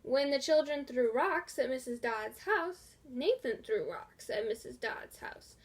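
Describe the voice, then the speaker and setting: A woman reading a children's storybook aloud in a deliberate, expressive way. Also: high-pitched. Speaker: storybook reader. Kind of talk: scripted children's story narration.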